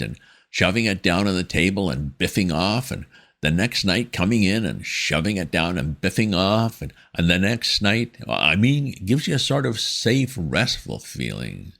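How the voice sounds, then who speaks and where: Guy putting on a high, dithery posh young gent, lots of ums, swoops around. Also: sing-song, moderate pace, gravelly, a bit bright, heavily acted. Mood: content. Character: flustered young upper-class English gentleman.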